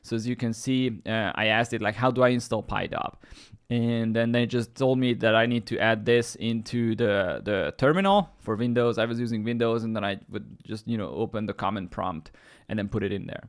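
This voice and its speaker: A man's voice: lowish voice, moderate pace, clean tone. Hungarian-native man rambling through a tutorial. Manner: rambling, many fillers and restarts. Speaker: YouTube tech host. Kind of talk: casual screen-share tutorial.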